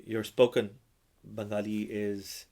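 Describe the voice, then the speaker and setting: Man, low voice, native Urdu speaker, imam hosting a podcast, measured and reverent. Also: flat delivery, mellow. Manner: measured, reverent, with religious phrases. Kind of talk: conversational interview.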